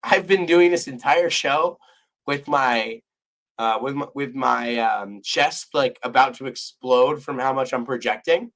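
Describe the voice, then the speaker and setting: A hoarse young man co-hosting a casual sports podcast, losing his voice and restarting his sentences as he rambles on. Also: medium pitch, measured pace, a bit bright. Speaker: podcast co-host.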